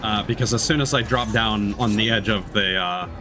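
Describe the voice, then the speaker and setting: Male livestreamer, very low voice, casual musing game commentary. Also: normal speed, over loud music. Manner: musing, thinking aloud. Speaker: game streamer.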